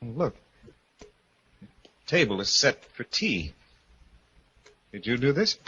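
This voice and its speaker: An actor playing an uneasy, skeptical husband in a haunted-house mystery, in 1940s radio drama style. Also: male voice, medium pitch, very animated, takes it slowly, bright, forceful tone, slightly rough, dull audio, full character voice. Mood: tense, alarmed. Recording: noisy, band-limited old radio recording.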